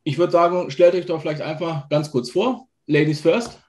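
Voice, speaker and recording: A man's voice: on the high side, normal speed, darkish tone. A man hosting a casual interview over a video call, asking questions conversationally. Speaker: interviewer, host. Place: indoor room, video call.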